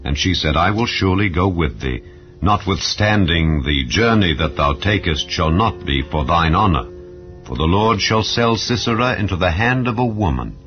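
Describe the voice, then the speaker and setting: A man narrating a dramatized Bible reading in a solemn, formal, measured way. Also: sits low, expressive. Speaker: scripture narrator. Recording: slightly dull audio with music underneath. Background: background music.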